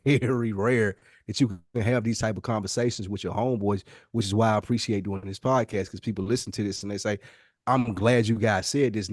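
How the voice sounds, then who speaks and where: A man with a slightly low voice speaks as a podcast co-host in a dry, close recording. He sounds earnest and conversational, as in a reflective discussion among friends. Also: a little mellow, no room sound.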